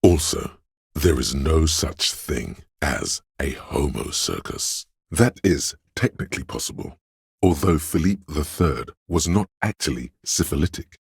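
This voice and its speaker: A man voicing a learned, pedantic inner voice for a video game, narrating trivia in an erudite, measured, matter-of-fact way with dry asides. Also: lively intonation, dry recording, exaggerated voice. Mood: dry, corrective. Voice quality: very deep, husky.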